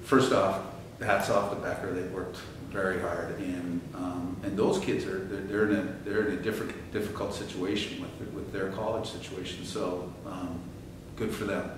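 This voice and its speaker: A middle-aged man, a head coach, answering postgame questions with halting restarts and fillers. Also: low voice, level tone. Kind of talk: postgame interview. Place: press room at a table. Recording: echoey room from a distance, background hiss.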